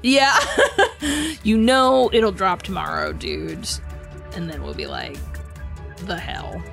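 Giggly, animated woman podcast co-host. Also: medium pitch, takes it slowly, vocal fry, music in the background. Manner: giggly, prone to laughing; informal, enthusiastic fan talk. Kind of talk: casual book club chat.

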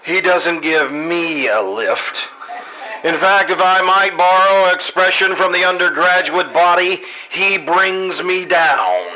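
A man's voice: sits high, takes it slowly, sharp tone, full character voice, poor audio. A man playing a pompous, fussy middle-aged school principal and father in an old-time radio comedy. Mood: grumpy. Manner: pompous, formal.

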